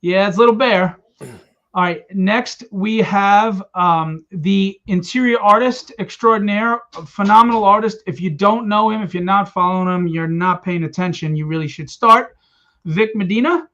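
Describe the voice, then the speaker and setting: A man's voice: sits high, normal speed, pushed and bright. A man hosting a livestream launch party for his comic, joking and teasing his guests as he hypes the campaign. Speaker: host and comic publisher. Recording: dull lo-fi stream audio.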